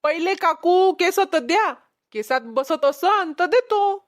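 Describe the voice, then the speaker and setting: Woman voicing a high-pitched cocky young pot peddler. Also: lively intonation, measured pace. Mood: businesslike, agreeable. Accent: Vidarbha Marathi. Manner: cocky, bargaining. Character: boastful, haggling young male street pot peddler. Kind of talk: cartoon comedy dialogue.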